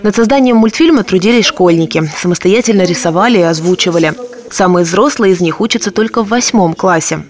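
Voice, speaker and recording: Woman, news reporter, scripted narration, quick pace, faint music behind. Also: on the low side.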